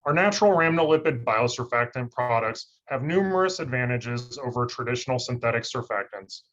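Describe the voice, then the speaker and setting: Man, monotone read-through, company talk over a slightly dull call line. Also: medium pitch, a little fast. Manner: monotone, steady reading. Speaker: industry research scientist presenting. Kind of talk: scripted webinar presentation. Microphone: video call.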